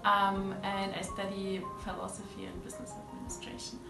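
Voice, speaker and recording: A woman's voice: medium pitch, big pitch swings, brisk, roomy sound, music in the background, rough recording. A woman in her twenties from Germany, a student explaining her answers earnestly. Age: 20s. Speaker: exchange student. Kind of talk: casual interview answers. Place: indoors, room.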